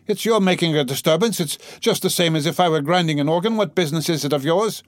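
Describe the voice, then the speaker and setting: Man voicing a frantic, haughty, high-pitched gentlewoman. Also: fast talker, no echo, studio quality, natural voice. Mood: indignant. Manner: lofty, wailing, rambling, abruptly switching to scolding. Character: distraught, haughty, ailing impoverished gentlewoman, frantic and scolding. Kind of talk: dramatised audiobook character reading.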